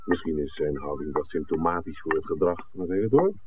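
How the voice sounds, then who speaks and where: An actor playing a shrewd, dryly teasing film scout in a 1960s radio play, speaking in an articulate, deliberate way. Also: male voice, rumbling low, lively intonation. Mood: wry, emphatic. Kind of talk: 1960s radio drama acting. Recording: muffled, noisy old radio broadcast recording.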